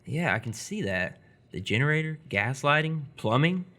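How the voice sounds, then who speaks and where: A teenage boy played by a man in a live radio play. He is earnest and hesitant, with a mid-pitched voice that jumps around a lot as he talks. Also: very animated, moderate pace, bright, forceful tone. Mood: casual. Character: awkward, curious seventeen-year-old boy stranded overnight. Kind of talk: live radio theatre acting.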